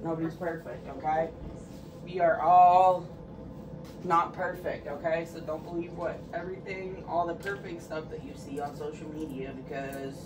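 A woman's voice: sits low, steady tone, moderate pace. A woman in her thirties vlogging casually to camera in her small apartment, frank and chatty, full of "like" and "guys". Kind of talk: casual vlog chat to camera. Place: small apartment bedroom and kitchen. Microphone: camera set a few steps away. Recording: echoey room from far off, background noise.

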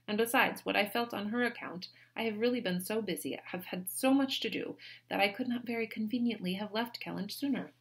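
A woman reading a novel aloud, voicing a patient, sensible young woman who answers gently and reasonably. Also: mid-range voice, a little fast, pitched above the performer's own voice, natural voice. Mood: explaining.

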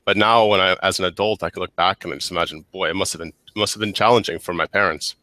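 A man's voice: low voice, steady tone, fast, bright, forceful tone, dry recording. A man in his thirties from the United States, a guest on a video call headset, talking casually with many restarts and repeated words. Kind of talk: casual interview chat. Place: small office with whiteboard. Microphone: headset mic on a video call.

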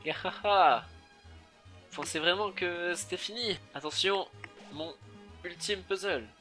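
A man's voice: high-pitched, normal speed, sharp tone, soft background music, voice pushed up. A man voicing a pompous, boastful puzzle-making game villain in a theatrical, grandiose manner.

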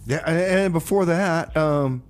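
A man's voice: a little high, a little slow. Rambling, wry male radio DJ. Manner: rambling, self-interrupting, wry. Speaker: radio DJ and host. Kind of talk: casual radio back-announcing. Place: community radio studio. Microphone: studio microphone.

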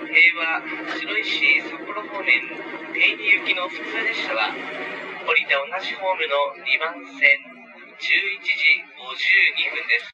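A man's voice: fairly high pitch, measured pace. A man working as a train conductor, formally announcing connections, platforms and times. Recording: muffled, distant train speaker recording. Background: faint train noise.

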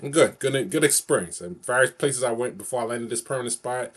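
A man's voice: medium pitch, a little fast. A man, vlogging casually to camera from his bedroom, repeating and circling back over the same phrases. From New York City. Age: 40s. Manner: repetitive, rambling, loops over the same phrases. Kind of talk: casual solo vlog to camera. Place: small bedroom, indoors.

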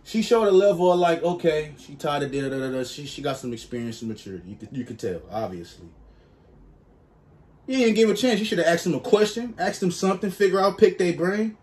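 A man in his twenties doing casual reaction commentary on a headset mic, slangy and animated, jumping to high exclamations. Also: a little fast. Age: 20s. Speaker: reaction streamer.

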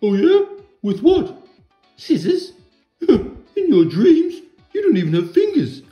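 A man's voice: extremely high pitch, wildly swooping pitch, takes it slowly, reverberant, muffled recording, soft background music, pitched above the performer's own voice, heavily acted. A man voicing a cheeky toy animal sidekick who interrupts and mocks in a children's puppet show. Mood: mocking. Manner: mocking, interrupting.

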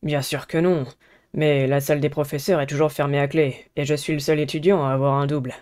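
A woman's voice: sits low, little pitch movement, brisk, a little mellow, clean tone, pitched below the performer's own voice, lightly acted. A woman voicing a stressed, earnest teenage boy, a student delegate in a visual novel game.

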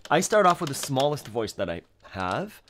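A man's voice: mid-range voice, big pitch swings, moderate pace. A man in his thirties teaching accordion on a livestream from a home music room, thinking aloud and scatting melodies. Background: accordion playing.

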